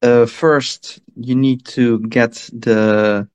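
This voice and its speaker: An English man with a croaky voice, talking in a casual group voice chat as a Reddit moderator and stumbling over restarts. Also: mid-range voice, steady tone. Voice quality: croaky, gravelly voice. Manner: halting, restarts often.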